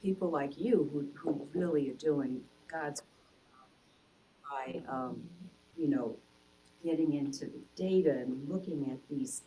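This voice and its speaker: A woman journalist on a panel with a notably low voice. She speaks warmly and appreciatively, often repeating words as she restarts. She is heard at a distance over faint noise. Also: slow pace, long pauses. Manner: warm, appreciative, stammering restarts. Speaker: author, journalist. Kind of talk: panel discussion.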